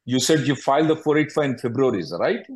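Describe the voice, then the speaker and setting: A man's voice: mid-range voice, lively intonation, fast talker, a little mellow. A man working as an attorney and host, answering callers bluntly, often repeating himself and cutting in. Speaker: immigration attorney hosting a call-in. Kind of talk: question-and-answer advice call.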